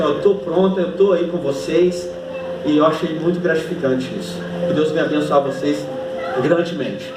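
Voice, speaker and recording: Portuguese-speaking pastor in an echoey church. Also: male voice, on the high side, noisy background. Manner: warm joking thanks, then emphatic scripture reading. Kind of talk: sermon. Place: church hall. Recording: echoey church hall from a distance. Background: congregation ambience.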